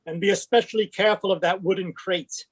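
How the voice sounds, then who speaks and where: A man's voice: high-pitched, fairly even intonation, fast talker, husky. A man voicing a nervous impostor in an old-time radio play, flustered and stammering with overly polite talk. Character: nervous male impostor posing as a businessman.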